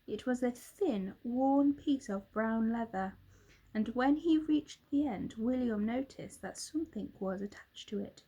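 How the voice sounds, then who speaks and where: A woman reads a children's story aloud with dramatic expression. Her voice is fairly high and she takes her time, pacing each line very slowly. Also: mellow, breathy edge, poor audio. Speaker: storybook reader, teacher. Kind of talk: scripted children's story narration.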